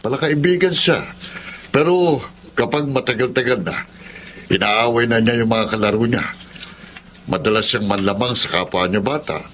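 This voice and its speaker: Guy doing earnest protective dad in a radio drama, sharp voice, bit of background noise. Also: medium pitch, moderate pace, long pauses, lightly acted. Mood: grave, confiding. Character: earnest, protective older father of a troubled son. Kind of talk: Filipino radio drama acting.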